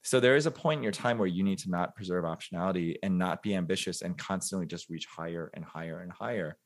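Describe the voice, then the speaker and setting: A man's voice: deep voice, fast. A man in his forties, a podcast guest, talking casually and thinking aloud with lots of fillers and restarts. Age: 40s. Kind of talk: casual interview chat.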